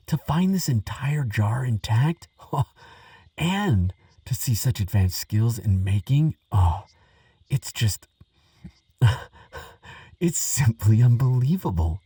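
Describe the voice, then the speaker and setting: A man playing an earnest, excitable archaeologist who talks gently to a friend, stammering and musing with wonder, in a relaxing audio roleplay. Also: on the low side, takes it slowly, husky, dry recording, recorded from a distance, exaggerated voice. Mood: eager, fascinated. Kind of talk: relaxing scripted audio roleplay, spoken to the listener.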